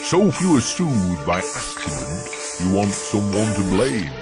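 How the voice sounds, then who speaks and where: A man's voice: on the low side, big pitch swings, measured pace. A man voicing a pompous, macabre showman villain in a video game, reciting theatrically with sinister relish. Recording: loud music under the voice.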